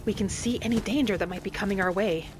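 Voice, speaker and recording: A woman playing a gentle, earnest female wood-elf adventurer, musing aloud as she narrates a roleplay game in character. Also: mid-range voice, fast talker, background noise, close to an everyday voice. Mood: thoughtful.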